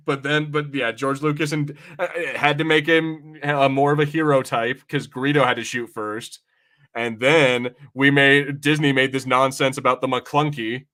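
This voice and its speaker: A man's voice: a little high, pushed and bright. A young adult man in casual podcast banter, brash and teasing, swearing freely as he talks. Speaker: podcast co-host. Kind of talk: casual watch-party banter.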